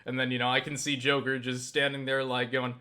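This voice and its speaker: A man rambling through a casual vlog monologue, halting and circling back on himself, with casual swearing. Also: medium pitch, level tone, sharp tone, clean tone. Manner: halting, self-interrupting, circling back with repeated "you know"; casually profane. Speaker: YouTube vlogger.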